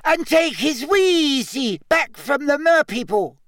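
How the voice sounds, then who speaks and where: A man voicing a small, eager male house elf in an audiobook, squeaky, earnest and deferential, speaking of himself in the third person. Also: sing-song, slow, fairly bright tone, no room sound, clean studio sound, heavily acted. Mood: earnest, anxious. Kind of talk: audiobook character acting.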